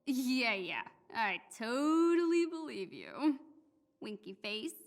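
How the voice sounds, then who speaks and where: A woman's voice: a little high, big pitch swings, slow pace, big-room sound, exaggerated voice. A woman voicing a bubbly, teasing young superheroine in a video game, chirpy and playful.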